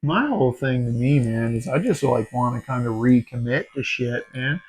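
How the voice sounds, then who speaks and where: Man, forties, podcast host, casual chat, swearing, animated storyteller, medium pitch. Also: moderate pace, rough recording. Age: 40s. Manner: swearing, animated storytelling, says dude a lot.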